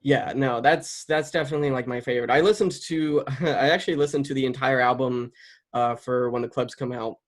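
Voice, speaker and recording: Male interviewer, medium pitch, informal and conversational, apt to chuckle mid-sentence. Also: fairly even intonation, fairly quick. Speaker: interviewer, content creator. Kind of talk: casual interview chat.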